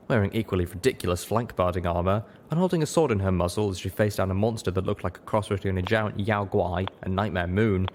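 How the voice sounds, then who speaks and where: A man's voice: sits low, slight background noise. A man reading a scripted first-person story aloud as an audiobook narrator. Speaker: audiobook reader.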